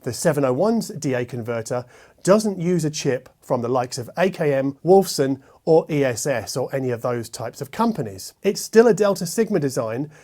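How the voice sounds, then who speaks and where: A man hosting a scripted hi-fi product review, speaking in a measured way with wry asides. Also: medium pitch, animated, mellow. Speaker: host and hi-fi reviewer.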